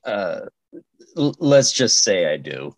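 A man's voice: fairly low pitch, very animated, slow. A man hosting a comedic podcast as a hesitant, stammering straight man. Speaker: podcast host.

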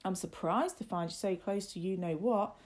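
A woman's voice: medium pitch, normal speed, a little mellow, natural voice. A woman voicing a mischievous older servant boy in a storybook, emphatic and impatient. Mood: teasing.

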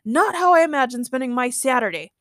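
A woman storyteller voicing a casual, excitable male friend. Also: high voice, fast talker, no room sound, studio quality. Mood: panicked.

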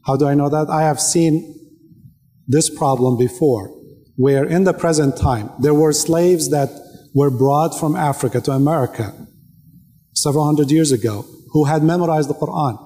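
A man's voice: a little high, normal speed, dark tone. A man whose first language is Arabic, debating live, rebutting firmly with short pointed questions. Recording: reverberant hall.

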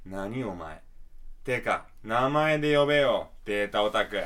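A man's voice: lowish voice, animated, unhurried, fairly bright tone. A man playing a flashy, irritable slacker with a curt, slangy way of talking in a radio drama. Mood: annoyed. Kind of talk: Japanese radio drama acting.

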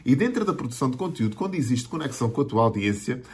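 A man's voice: medium pitch, sing-song, racing, a little mellow. A man in his forties with a European Portuguese accent, a marketing mentor giving an earnest motivational talk to camera and building his points through repeated lists. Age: 40s.

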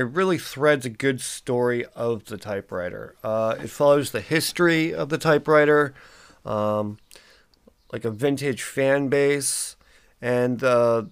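Stuffy nosed guy rambling on his podcast, smooth clear tone, um and uh everywhere. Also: medium pitch. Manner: rambling, many ums and uhs. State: congested, stuffy. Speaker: podcast host. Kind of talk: casual solo podcast monologue.

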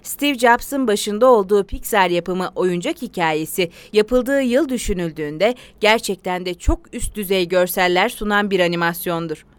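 A woman's voice: medium pitch, no echo. A woman narrating a scripted documentary-style explainer in an articulated, presentational way.

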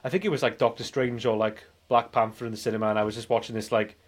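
Rambling man with a medium-pitched voice. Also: fairly even intonation, fast talker. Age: 40s. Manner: rambling, repeats himself. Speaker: film review podcast host. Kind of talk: casual chat.

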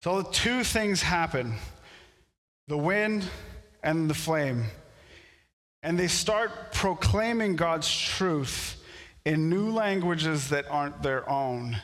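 A pastor whose first language is French, preaching a sermon in a storytelling way that builds to animated outbursts. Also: male voice, on the high side, slow pace, big-room sound. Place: church sanctuary.

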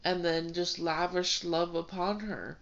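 A woman's voice: lowish voice, fairly even intonation, measured pace, gravelly. A woman pastor co-hosting a casual ministry talk, stuffed up from a cold, chatty with laughs and fillers. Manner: conversational, with laughs and many fillers. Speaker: pastor and co-host. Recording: dull lo-fi sound.

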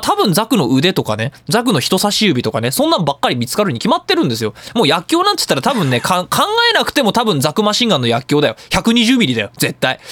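Guy doing a casual radio rant, higher pitched voice, swings all over the place. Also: no room sound. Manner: animated, ranting, theatrical emphasis. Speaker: radio show host. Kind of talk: casual solo radio monologue.

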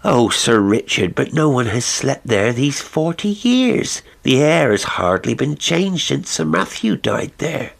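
A fretful old housekeeper, anxious and pleading, in a raised, husky voice that a male reader puts on for a storybook. Her words come out worried and imploring, with a gravelly grain. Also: mid-range voice, big pitch swings, a little slow, few pauses, voice pushed up, full character voice. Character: anxious elderly female housekeeper.

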